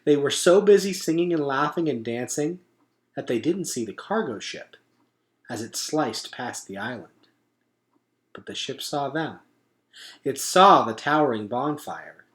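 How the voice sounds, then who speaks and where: A man reading a children's story aloud as an expressive narrator. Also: mid-range voice, unhurried.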